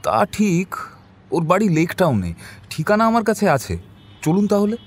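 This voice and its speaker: High-voiced, polite detective's aide. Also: male voice, sing-song, a little slow, breathy edge, heavily acted. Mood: cautious, inquiring. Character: deferential, cautious male colleague of a detective. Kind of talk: audio drama acting.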